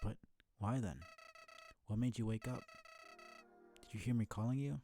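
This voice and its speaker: A man voicing a bored, manipulative killer flower from a video game, reading its monologue in a deliberate, brooding, theatrical way. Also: mid-range voice, dark tone, natural voice. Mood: probing. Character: sinister talking flower, bored, manipulative, world-weary killer. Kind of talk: video game dialogue read aloud. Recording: music behind the voice.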